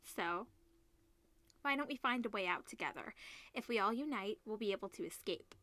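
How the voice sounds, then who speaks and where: A woman's voice: medium pitch, animated, normal speed, pushed and bright, no echo. A woman voicing an earnest, polite student survivor in a visual novel, a little hesitant. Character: earnest student pleading for cooperation and food.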